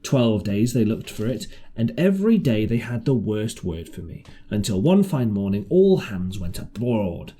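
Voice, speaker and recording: A man's voice: on the low side, very animated, moderate pace, hardly any pauses, mellow, slightly rough. A man voicing a shrewd, half-crazed marooned seaman in an audiobook, with rustic, ungrammatical, repetitive sailor talk. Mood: confiding, storytelling. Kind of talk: audiobook character voice.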